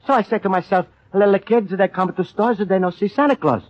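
A man's voice: sits high, fast talker. An actor playing a kindly, earnest immigrant man as a store Santa, with an Italian accent and broken English, in old radio style. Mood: earnest pleading. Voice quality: broken English with extra vowels. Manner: earnest, apologetic, eager to please. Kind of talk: old radio comedy-drama acting. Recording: dull, lo-fi old radio recording.

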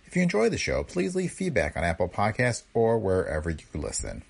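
An American man with a gravelly, husky voice reading a scripted podcast introduction as host. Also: fairly low pitch, huge pitch swings, fairly quick.

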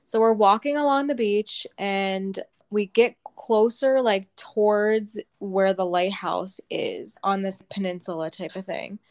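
A young woman co-hosting a podcast, telling a story in a casual, animated way and swearing freely. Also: medium pitch, measured pace, few pauses. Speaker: podcast co-host.

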